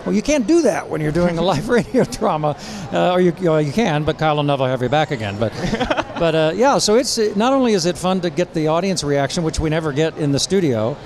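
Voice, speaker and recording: A man's voice: a little high, animated, quick pace, darkish tone. A middle-aged veteran voice actor, a man, chatting genially and conversationally in an interview. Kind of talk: casual interview chat. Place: live convention event, microphone.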